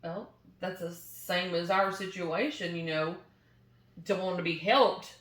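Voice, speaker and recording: A woman's voice: lowish voice, unhurried, fairly bright tone, reverberant. A woman talking casually with her husband about faith and marriage, chatty and agreeable, laughing often. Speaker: co-host, wife.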